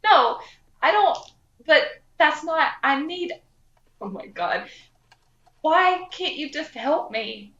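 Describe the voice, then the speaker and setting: A woman's voice: sits high, lively intonation, slow, pauses often, fairly bright tone. A woman playing in a tabletop game, improvising role-play in a casual voice. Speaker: podcast host, player in a tabletop game. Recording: roomy, dull lo-fi mic, faint music.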